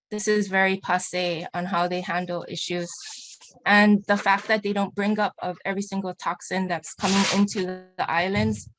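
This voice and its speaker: A woman speaking on a panel in a halting way, often restarting her sentences. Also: mid-range voice, a bit bright, slight background noise, low-quality recording. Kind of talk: online panel talk.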